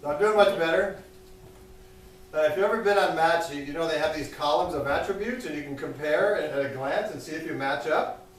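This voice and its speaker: A man with a high voice works through a deadpan stand-up set, mocking himself between one-liners. He is recorded from across a small cafe, so his voice sounds distant and is wrapped in heavy room echo, with audience laughter behind him. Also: a little fast. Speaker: stand-up comedian. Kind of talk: open-mic stand-up set.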